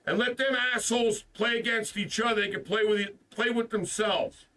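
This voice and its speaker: A man's voice: sits high, steady tone, normal speed, bright, forceful tone, recorded from a distance, low-quality recording. Stuffed-up man ranting on a stream. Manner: frustrated, profane, repeats himself. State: stuffed up from the flu. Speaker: gaming stream host. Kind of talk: casual ranting stream talk.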